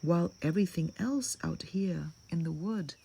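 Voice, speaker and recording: A woman's voice: lowish voice, normal speed, gravelly, mellow, a little hiss or hum. A woman voicing a crafty, sweet-talking male wolf, the villain of a storybook tale, in a coaxing, sly manner.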